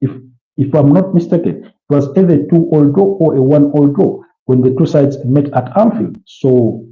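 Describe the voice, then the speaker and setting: A man with a Ugandan accent hosting a casual football preview livestream, chatting with viewers in a hesitant way and repeating phrases. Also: medium pitch. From Kampala, Uganda. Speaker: livestream host. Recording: dull, roomy, echoey sound.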